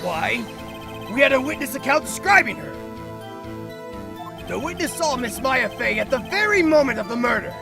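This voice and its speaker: A man's voice: very high-pitched, sing-song, moderate pace, creaky, pauses often, exaggerated voice. A man playing an eager, blustery police detective in an amateur courtroom game dub, deferential and easily flustered, with music behind him. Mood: earnest report. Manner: barking, deferential, stammering when challenged.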